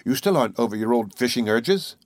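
A man playing a patient, gently amused professor of natural history in an audiobook, measured and didactic. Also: medium pitch, animated, dry recording, clean studio sound, lightly acted. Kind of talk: audiobook character voice.

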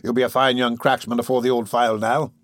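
A man's voice: mid-range voice, little pitch movement, fast, no room sound, studio quality, lightly acted. A man voicing a swaggering, easygoing housebreaker in a storybook, with cocky, offhand, slangy talk. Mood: breezy.

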